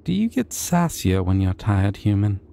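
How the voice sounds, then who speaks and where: A man voicing a shy, sweet, gentle dragon in an ASMR roleplay, speaking in a hesitant, tender and soothing way. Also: lowish voice, sing-song, normal speed, gravelly, slight background noise. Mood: sleepy, tender. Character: shy, sweet, gentle young male dragon, eager to please.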